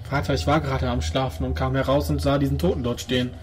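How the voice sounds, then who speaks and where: A man takes part in a tabletop role-playing session as a player, moving between describing actions and speaking in character. His voice is mid-pitched, with music clearly audible behind it. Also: little pitch movement, fast talker, a little mellow.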